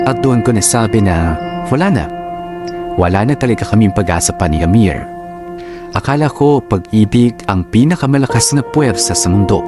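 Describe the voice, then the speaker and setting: Low-voiced wistful storyteller read by a man. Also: natural voice. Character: wistful storyteller reading a lost-love letter. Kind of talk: scripted radio drama narration. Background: music.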